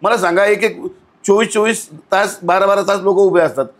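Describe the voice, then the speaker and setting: A man's voice: high voice, moderate pace. A man talking as a podcast guest in a blunt, indignant, scolding way, with many rhetorical questions. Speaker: outspoken podcast guest commenting on local civic issues. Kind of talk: conversational podcast interview.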